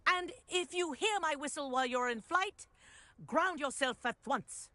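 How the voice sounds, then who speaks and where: A woman's voice: high-pitched, unhurried, sharp tone, no echo. A woman playing a strict, proper flying instructor at a wizard school in a video game, speaking formally and firmly. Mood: strict, instructive. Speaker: flying teacher.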